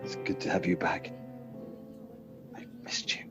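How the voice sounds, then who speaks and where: A man in an audio play acting a devoted, teasing companion with a droll, expressive delivery. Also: deep voice, measured pace, exaggerated voice. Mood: warm, teasing. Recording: dull personal-device recording, music behind.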